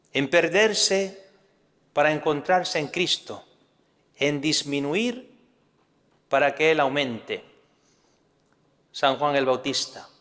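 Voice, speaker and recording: A man's voice: a little high, fairly bright tone, in a large hall. A man from Spain with a Spanish accent, a priest giving a sermon in an earnest teaching tone, often asking rhetorical questions.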